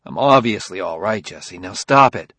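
Gruff detective dad, read by a male narrator: husky, tense, commanding, with lively swings in pitch. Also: moderate pace, dry recording. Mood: tense, impatient. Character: gruff, impatient plainclothes detective father, science fiction audiobook.

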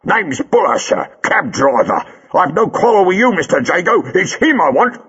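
A male narrator puts on a furious cab driver, pitched well above his own voice and shouting his demands in rough, broken grammar. The sound is dull and dry, like a close studio read. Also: sing-song, moderate pace, no echo, exaggerated voice. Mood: demanding. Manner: blunt, furious, dropped grammar. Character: angry working-class male cab driver.

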